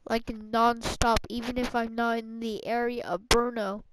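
A woman's voice: a little high, flat delivery, measured pace, heavy background noise. A young woman chatting casually with a friend while gaming, bossy and prone to swearing. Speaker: gamer. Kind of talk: casual gameplay chat with a friend.

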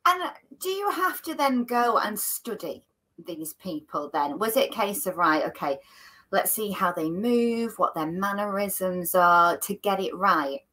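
A woman's voice: medium pitch, expressive, measured pace, sharp tone, rough recording. A middle-aged woman hosting an interview over a video call, asking eager, teasing questions. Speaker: host.

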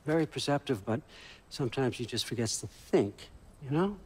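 A man's voice: mid-range voice, expressive, moderate pace, slight background noise, natural voice. An actor playing a wily older father god in a video game, talking in a casual, glib, folksy way. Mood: exasperated, glib. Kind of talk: video game cutscene acting.

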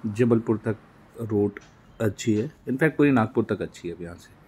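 A man vlogging a road trip from inside a moving car, narrating casually with frequent filler pauses. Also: on the low side, expressive, mellow. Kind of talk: casual narration while driving. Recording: car with faint road noise.

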